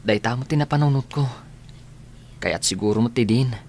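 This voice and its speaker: A man acting as a gentle, sickly schoolboy in a radio drama, quietly determined. His mid-pitched voice sounds over faint room ambience. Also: moderate pace, natural voice. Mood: earnest. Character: gentle, determined ailing schoolboy, devoted son. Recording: faint background ambience and noise.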